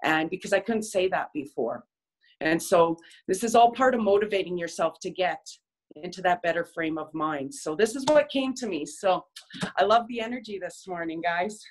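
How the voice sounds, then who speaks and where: A woman's voice: lowish voice, normal speed, low-quality recording. A woman casually sharing personal, motivational reflections with a group in a candid, conversational way.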